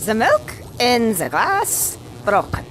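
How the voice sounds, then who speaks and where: An actor playing a jittery, resentful underling inventor in a cartoon video game, stammering and muttering distractedly. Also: male voice, very high-pitched, wildly swooping pitch, slow, fairly bright tone, slight background noise, heavily acted. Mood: distracted, pondering.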